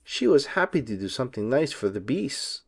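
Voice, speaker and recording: A man giving an expressive, deliberate storybook narration for children. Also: mid-range voice, vocal fry, breathy edge. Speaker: read-aloud narrator for children.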